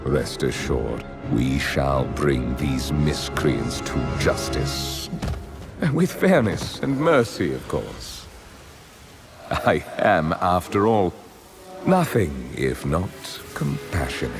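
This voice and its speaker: An actor playing a grandiose aristocratic vampire lord in a video game, speaking in measured, theatrical, pompous oratory. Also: male voice, extremely low pitch, very animated, gravelly, over music, exaggerated voice. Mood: pompous, resolute. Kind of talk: dramatic scripted game monologue.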